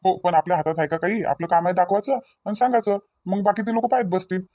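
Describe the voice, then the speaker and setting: A woman's voice: on the low side, steady tone, fast, warm tone, no echo, close to an everyday voice. A woman voicing a placid older village father-in-law in a cartoon comedy, conciliatory and matter-of-fact, with a Varhadi Marathi accent. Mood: easygoing. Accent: Varhadi Marathi from Vidarbha. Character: placid older father-in-law, mild peacemaking rural husband.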